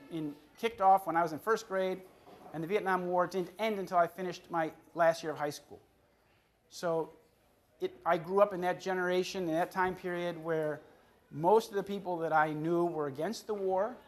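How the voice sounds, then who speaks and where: A man in his fifties from the Chicago area, an author giving a public talk to an audience, often repeating words and restarting his phrases. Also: high voice. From Chicago area, USA. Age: 50s. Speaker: author on a book tour.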